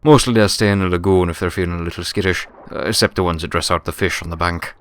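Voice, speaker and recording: Man playing a deep, smooth folksy pony servant. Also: fairly even intonation, fast talker, a bit bright. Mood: friendly, practical. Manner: folksy, plainspoken, deferential but familiar. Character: folksy, helpful male pony servant from riverside country.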